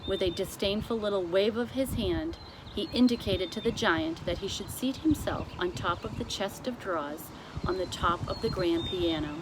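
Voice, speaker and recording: A woman reading a children's storybook aloud as narrator, with expressive delivery. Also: medium pitch, background noise. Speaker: storybook reader.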